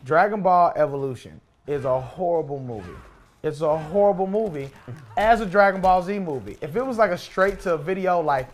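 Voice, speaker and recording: A man's voice: fairly high pitch, animated, moderate pace. A man co-hosting a podcast in casual debate, opinionated and argumentative, often stammering into restarts. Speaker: podcast co-host. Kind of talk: casual debate while gaming.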